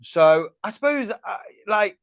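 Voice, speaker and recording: A podcast host talks in a fairly high voice, recorded close and dry. He is chatty and self-mocking and stumbles through fillers as he asks questions. He is heard over a video call. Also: expressive, slow pace, no room sound. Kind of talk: casual podcast interview.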